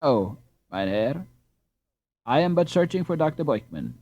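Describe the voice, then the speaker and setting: A man's voice: on the high side, very animated, a little slow, dark tone, dull audio. A man voicing a confident boy captain in a storybook, polite but commanding among his friends.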